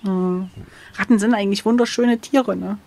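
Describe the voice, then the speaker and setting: A woman's voice: mid-range voice, fairly even intonation, moderate pace, creaky, a little mellow. A woman playing along with an adventure gamebook in casual, slangy chat, joking and sarcastic. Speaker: co-host and player.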